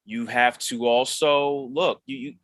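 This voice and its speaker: A man of middling pitch gives a reflective interview as a stage actor. He is measured and thoughtful, often pausing inside his sentences. The sound is dry, with no room around it. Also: steady tone, fairly bright tone, dry recording.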